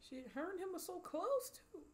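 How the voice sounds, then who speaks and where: A young American woman giving a casual, emotional movie reaction in an expressive, slangy way, full of exclamations. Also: very high-pitched, moderate pace. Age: young adult. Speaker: movie reaction video host. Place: small home streaming room, indoors. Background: film soundtrack and dialogue.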